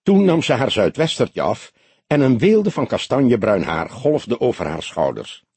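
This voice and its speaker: A man's voice: mid-range voice, very animated, measured pace, darkish tone, slightly rough, no echo. A man reading a crime novel aloud as scripted audiobook narration.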